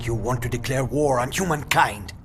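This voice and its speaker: A man's voice: medium pitch, moderate pace, close to an everyday voice. An actor voicing a stern, formal male agency chief in a video game. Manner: formal and authoritative. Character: stern male head of anti-mutant agency. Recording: game audio with music behind.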